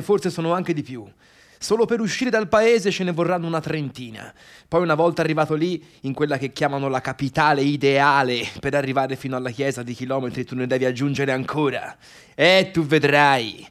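A man's voice: on the high side, close to an everyday voice. An actor playing a neurotic, bitter, indecisive man in a theatrical radio monologue, muttering agitatedly to himself with a Tuscan Italian accent. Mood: anxious, wavering, then grumbling, scornful. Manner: agitated muttering self-talk, constantly contradicting himself. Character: neurotic, wavering man, bitter misfit brother obsessed with his sister. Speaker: stage actor.

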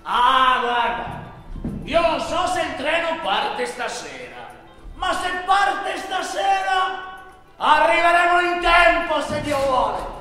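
A man acts out an excitable African cab driver of about forty. He frets and repeats himself in short frantic bursts, pitched high, far from the mic in a hall with heavy echo. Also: slow pace, faint music behind, full character voice. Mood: loud, reassuring. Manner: frantic repetition of short phrases. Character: forty-year-old African taxi driver, excitable, fretting, reassuring. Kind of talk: theatrical stage monologue.

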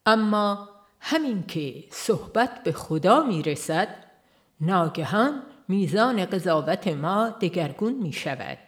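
A narrator reading a religious audiobook in a formal, measured and solemn manner. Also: medium pitch, very animated, a bit bright. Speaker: audiobook reader.

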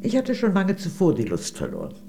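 Witty, animated old lady. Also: fairly low pitch, vocal fry, over music, exaggerated voice. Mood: wry, confiding. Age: older. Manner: genteel, arch, unhurried confiding delivery. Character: witty, worldly old lady, former communist, evasive and gracious. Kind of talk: radio play dialogue.

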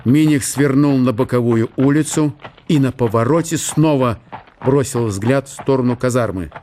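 A man giving a measured, storytelling narration of a literary radio drama. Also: medium pitch, mellow, a little hiss or hum. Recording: music under the narration. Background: music.

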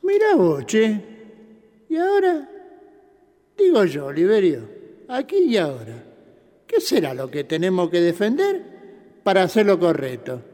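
A man's voice: high voice, wildly swooping pitch, unhurried, pauses often, warm tone, long reverb tail, heavily acted. An actor playing a colloquial, emotional man with a Buenos Aires accent, exclaiming in folksy old-time slang in a radio drama. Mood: astonished, pondering. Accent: Argentine, Buenos Aires. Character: colloquial, emotional porteño man reacting aloud to recorded messages.

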